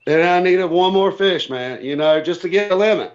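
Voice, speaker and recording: A man's voice: sits high, moderate pace. A man on a call telling stories casually as a guest, in a plain-spoken, folksy way. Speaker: interview guest, tournament angler. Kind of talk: casual storytelling chat. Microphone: remote call. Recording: dull lo-fi call audio.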